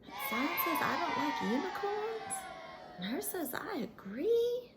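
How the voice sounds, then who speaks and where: A woman's voice: very high-pitched, sing-song, a little slow, a crowd in the background, background noise, exaggerated voice. A woman voicing a sweet, folksy little female pony, telling her story dramatically and earnestly to children. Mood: hurt, pleading.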